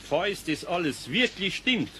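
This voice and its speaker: A man's voice: high-pitched, big pitch swings, a little slow, bright, forceful tone, heavily acted. An actor playing a good-hearted, grumbling older craftsman in a children's radio play, speaking colloquially with a Bavarian accent. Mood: reasoning, soothing. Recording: noisy old cassette audio.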